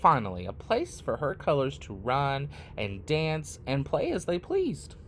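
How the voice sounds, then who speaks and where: A man reading a picture book aloud expressively, in short phrases with deliberate, dramatic pauses. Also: a little high, huge pitch swings, a bit bright, slight background noise. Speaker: read-aloud storyteller.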